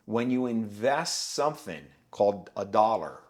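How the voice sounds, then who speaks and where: A man's voice: fairly low pitch, very animated, a little slow. A man in his fifties, a guest on a podcast, talking in an emphatic, preachy and blunt way. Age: 50s. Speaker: guest, business owner. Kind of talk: podcast conversation.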